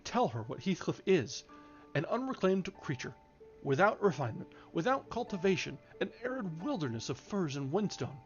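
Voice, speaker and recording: A man voicing an imperious, sharp-tongued married woman from a novel, speaking in haughty, emphatic declamation. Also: high-pitched, lo-fi sound.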